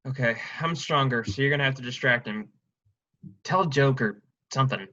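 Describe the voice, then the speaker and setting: Man acting a bright-voiced, pragmatic loyal friend. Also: mid-range voice, brisk, lightly acted. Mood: scheming. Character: pragmatic, loyal male friend and reluctant accomplice. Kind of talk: staged play reading. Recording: dull lo-fi sound.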